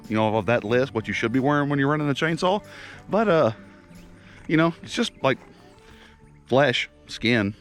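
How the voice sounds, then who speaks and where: A young man with a Kentucky accent, hoarse from a head cold, chatting easily to camera outdoors by a farm pond. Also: mid-range voice, a bit bright. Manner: easygoing, rambling, self-deprecating. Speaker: farm vlogger. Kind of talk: casual vlog talk to camera.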